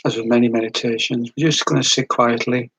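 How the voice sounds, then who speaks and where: Guy guiding a meditation, breathy and soothing, even pitch, really dry close sound, lots of pauses. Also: no echo, poor audio. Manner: unhurried, soothing, with long pauses between short phrases. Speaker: meditation guide. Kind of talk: guided meditation, slow and gentle.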